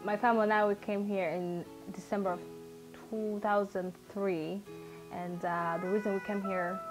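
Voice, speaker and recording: A woman's voice: medium pitch, little pitch movement, moderate pace, music in the background, poor audio. A young woman from South Sudan, a musician, telling her story in an earnest, chatty way with fillers and restarts. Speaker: guest musician. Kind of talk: casual personal storytelling.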